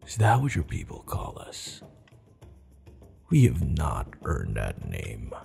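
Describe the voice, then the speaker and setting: A low, heavily gravelled male warchief acted by a man in an ASMR roleplay. He is proud and commanding with a teasing edge, taking his time over formal, measured words, and his pitch moves expressively. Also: huge pitch swings, darkish tone, faint music behind, exaggerated voice. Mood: teasing, stern. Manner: slow, measured, stern yet amused, formal phrasing.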